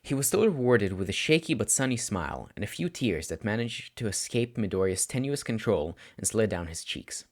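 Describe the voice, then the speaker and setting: A man reading scripted story narration aloud, audiobook style, with expressive, dramatic phrasing. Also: lowish voice, moderate pace, hardly any pauses. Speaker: audiobook narrator of fan fiction.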